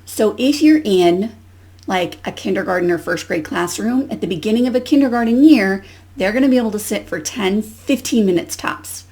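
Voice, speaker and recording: A woman's voice: medium pitch, a little mellow. A woman giving an instructional demonstration as a teacher educator, explaining and modelling questions as if to young pupils.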